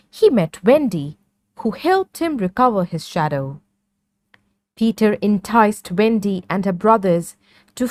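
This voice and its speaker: A woman narrating a scripted children's story in a measured, clearly phrased read-along manner. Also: medium pitch, dry recording, clean studio sound.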